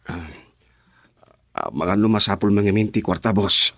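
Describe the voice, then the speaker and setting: A man's voice: fairly low pitch, a little slow, a bit bright, no echo, studio quality. An actor playing a deferential, questioning henchman and driver in a radio drama, with an Ilocano accent. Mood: deferential, hesitant.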